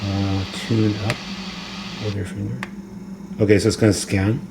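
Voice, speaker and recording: A man's voice: fairly low pitch, flat delivery, measured pace, creaky, heavy background noise. A man giving a casual, unscripted gadget demonstration, rambling tentatively and thinking aloud, recorded indoors on a phone. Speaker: amateur gadget reviewer. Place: indoors, kitchen counter. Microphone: phone camera, held or propped nearby.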